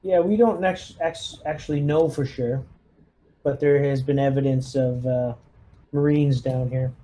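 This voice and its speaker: A man's voice: mid-range voice, fairly even intonation, a little slow, dark tone, low-quality recording. A man chatting casually as a player leading a squad in a tabletop game.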